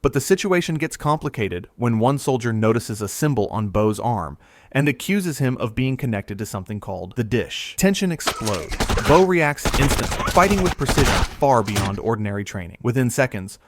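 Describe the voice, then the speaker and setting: A man reading a scripted movie recap in a steady storytelling delivery. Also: mid-range voice, moderate pace, background noise. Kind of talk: scripted narration.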